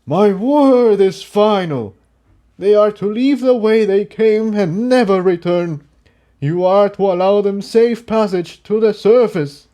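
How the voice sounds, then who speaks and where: A man's voice: high-pitched, takes it slowly, warm tone, voice pushed up. Man playing a stern, declaiming pony leader. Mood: commanding. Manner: declamatory, formal, authoritative. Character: stern, solemn male pony leader passing judgment.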